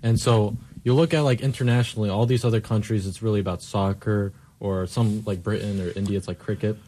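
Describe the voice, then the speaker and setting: A man's voice: fairly low pitch, steady tone, fairly quick, warm tone. A young man speaking as a guest on a radio talk show, chatty with many likes. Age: young adult. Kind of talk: casual discussion.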